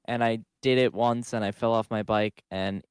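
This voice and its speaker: A man's voice: on the low side, fairly even intonation, moderate pace, smooth, dry recording, clean studio sound. A teenage young man co-hosting a podcast with casual, slangy, informal talk. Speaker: podcast co-host.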